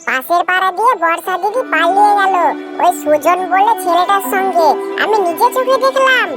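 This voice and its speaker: A woman's voice: extremely high pitch, normal speed. A woman playing an eager, gossipy young village tattletale in a cartoon drama. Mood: gossipy, urgent. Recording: music behind the voice.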